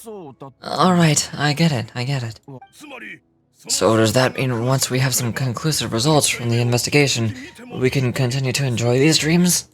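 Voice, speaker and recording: An uneasy villager from a fantasy game, played by a woman, talks in a lowered voice. The manner is hesitant and apologetic, a little plaintive, with soft music playing behind. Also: moderate pace. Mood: doubtful, plaintive. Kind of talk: dramatic read-aloud of game dialogue.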